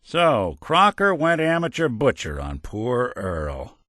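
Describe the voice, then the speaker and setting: An actor voicing a weary, wry android detective for a video game, in a hard-boiled noir manner with old-fashioned slang and dry sarcasm. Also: male voice, medium pitch, huge pitch swings, slow, pushed and bright, no room sound, full character voice. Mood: grim, determined. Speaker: companion character in a video game.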